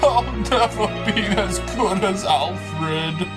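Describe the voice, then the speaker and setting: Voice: medium pitch, slow pace, over loud music, natural voice. A performer voicing a melodramatic, dutiful male butler puppet in a comedy skit, theatrical, servile and emotional. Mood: despairing.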